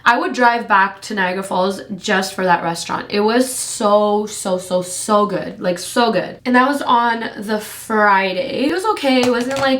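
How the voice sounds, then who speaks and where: A woman's voice: medium pitch, a bit bright. A woman in her twenties from Canada, chatting casually and rambling with lots of fillers while doing her makeup for a vlog. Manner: rambling, filler-heavy with frequent "like". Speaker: beauty vlogger. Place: home room.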